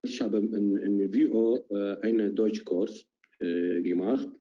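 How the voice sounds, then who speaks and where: Slow, dark-voiced man. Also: fairly low pitch, steady tone. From Iraq. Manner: halting, hesitant, many fillers. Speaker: project participant. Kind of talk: interview answers in learner German. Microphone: video call. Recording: dull lo-fi online call audio.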